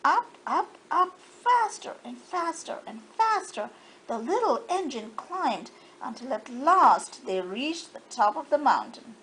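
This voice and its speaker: Female storyteller for children's read-aloud: high, very animated, slow delivery, faint noise behind. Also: rough recording. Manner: expressive, dramatized.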